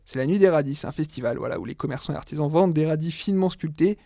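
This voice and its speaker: A man co-hosting a casual podcast among friends, playful and quick to laugh, running a quiz game at a table. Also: fairly high pitch, gravelly, mellow, no echo, studio quality. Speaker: podcast co-host.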